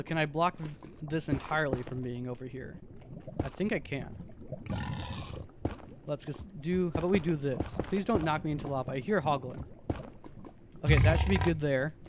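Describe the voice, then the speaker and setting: A man with a very high voice giving casual, chatty live stream commentary as he plays a video game. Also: background noise. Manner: chatty, self-narrating while playing, easily animated.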